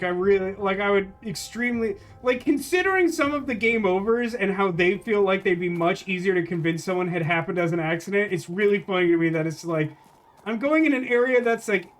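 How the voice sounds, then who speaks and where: A high-voiced man gives casual live commentary on a game. He thinks aloud in a rambling, wry way, with faint music playing behind him. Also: fairly bright tone. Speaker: game streamer.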